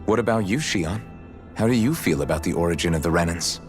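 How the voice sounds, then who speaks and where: An earnest young hero in a game story. The voice is notably deep, with plain-spoken, sincere delivery. There is some music underneath. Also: male voice, lively intonation, brisk. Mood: earnest, hopeful. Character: earnest, idealistic young male hero warrior. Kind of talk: dramatic video game cutscene acting. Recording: music playing behind the voice.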